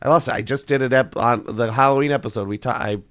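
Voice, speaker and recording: A man hosting a casual quiz podcast, his voice hoarse, chatting and thinking aloud with lots of fillers. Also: mid-range voice, quick pace, a bit bright, dry recording. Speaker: podcast host.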